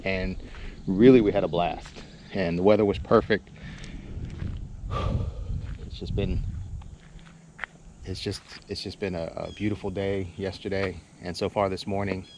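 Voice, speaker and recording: Middle-aged male vlogger, low voice, relaxed rambling delivery, recorded outdoors with light nature noise. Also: measured pace, pauses often. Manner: laid-back, rambling, chuckles while talking. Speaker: vlog host. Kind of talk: casual outdoor vlog chat. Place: outdoors at a campground. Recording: outdoor noise, birds and insects.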